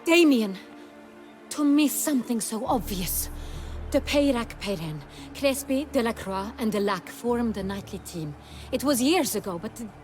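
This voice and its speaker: An actress playing a commanding, regal young duchess in a video game, speaking formally and with authority. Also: female voice, on the high side, lively intonation, measured pace, a little mellow. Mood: realising, intent. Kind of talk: video game cutscene acting. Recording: music behind the voice.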